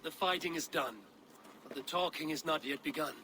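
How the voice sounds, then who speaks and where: An actor playing a grave, level-headed Viking war leader in a video game, speaking in a measured, commanding way. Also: male voice, a little high, noisy background, close to an everyday voice. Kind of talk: dramatic video game acting.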